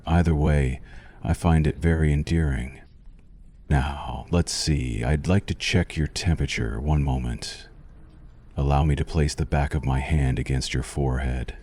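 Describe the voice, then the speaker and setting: Male actor as vampire doctor for ASMR: extremely low, mellow voice, formal, possessive, softly menacing. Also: steady tone, a little slow, full character voice. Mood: soothing, teasing. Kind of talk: ASMR roleplay, scripted monologue to the listener.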